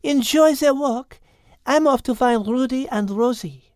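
A man voicing a thoughtful, courteous little female cat in a storybook, with a French accent and gently precise speech. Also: very high-pitched, measured pace, clean tone, dry recording, clean studio sound, voice pushed up. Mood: friendly.

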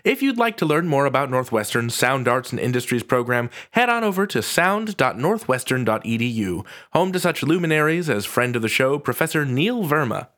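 A male podcast host with a mid-pitched voice. He moves between panel talk and casual scripted narration, with a wry, sardonic manner. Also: sing-song, brisk, few pauses, fairly bright tone.

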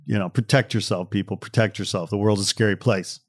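Low-voiced man hosting a casual podcast. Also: steady tone, a little fast, no room sound, studio quality. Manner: wry, conversational, direct address to listeners. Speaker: podcast host.

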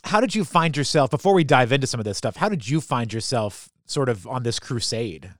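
A man's voice: medium pitch, animated, fairly quick, a bit bright, no room sound, clean studio sound. An American man hosting a podcast, talking easygoing and asking long casual questions. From United States. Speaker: podcast host. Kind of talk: casual interview chat.

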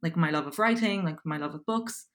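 A woman's voice: sits low, fairly quick. A woman in her twenties with an Irish accent, chatting casually as an interview guest and filling her talk with likes and ums. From Poland. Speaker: guest, copywriter.

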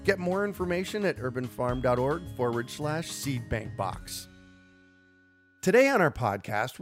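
Podcast host with a dry close voice. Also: male voice, a little high, no echo. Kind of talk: scripted intro and casual interview questions.

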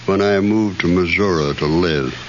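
A man in his seventies with a low voice gives short, plain answers on a quiz. The vintage radio recording is muffled and very noisy. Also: normal speed. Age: 70s. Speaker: quiz show contestant, fix-it man.

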